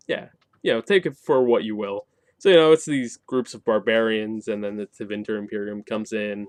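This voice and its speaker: A man talks through a review in a casual, off-the-cuff way, with a very lively, swooping pitch. He explains like a conversational host, slipping in wry asides. The sound is dry and close, with little room on it. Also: clean tone, no echo. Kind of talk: casual unscripted review commentary.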